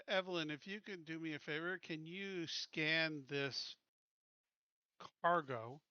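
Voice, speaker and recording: A man's voice: a little high, pushed and bright, smooth, no echo, dull audio. A man playing a tabletop roleplaying game, talking casually in character at the table.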